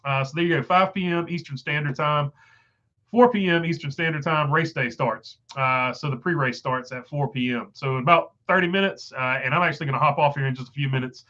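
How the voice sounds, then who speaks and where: A man's voice: a little high, fairly quick, bright, forceful tone, dull audio. Stuffed-up man rambling through chat. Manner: rambling, many "uh" fillers and restarts. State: stuffed up, voice going. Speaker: livestream host reading chat. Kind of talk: casual live chat.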